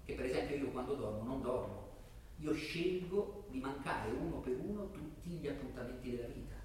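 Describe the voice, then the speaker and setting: A man's voice: medium pitch, animated, normal speed, voice pushed down, natural voice. A man acting a volatile, echoey armchair terrorist. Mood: brooding, self-absorbed. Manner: swings from brooding murmur to shouted outbursts. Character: volatile, violent, self-pitying male armchair terrorist. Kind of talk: dramatic monologue from a stage play. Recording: very echoey room, distant mic, faint noise.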